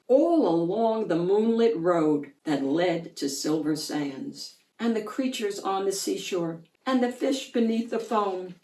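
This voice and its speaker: A woman's voice: medium pitch, distant mic. A woman reads a rhyming picture book with theatrical expression. She takes her time over each phrase, and her voice carries a roomy echo from a little way off the microphone. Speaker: children's book reader and channel host. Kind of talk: expressive picture book read-aloud in rhyme.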